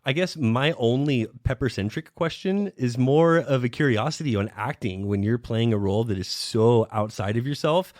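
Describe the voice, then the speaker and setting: A man's voice: medium pitch, moderate pace, few pauses, darkish tone, dry recording, studio quality. A man hosting a podcast interview, asking curious questions in a laid-back way. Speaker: podcast host.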